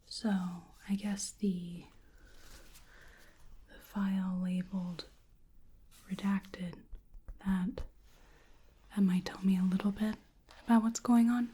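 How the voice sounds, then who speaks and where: Soft, breathy woman murmuring slowly. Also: mid-range voice, fairly even intonation. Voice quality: soft, breathy, often whispery. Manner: hesitant, murmuring to an unseen listener. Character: bewildered, polite female researcher examining a creature. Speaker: ASMR roleplay performer. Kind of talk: soft-spoken ASMR roleplay.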